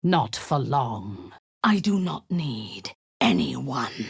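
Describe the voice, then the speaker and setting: Cruel drow boss lady, low husky whispery voice, slow and menacing, dry studio game line. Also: big pitch swings, raised voice, heavy background noise, exaggerated voice. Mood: contemptuous. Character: cold cruel drow woman commander, zealous. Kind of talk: video game voice acting. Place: studio booth. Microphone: close studio mic, dry.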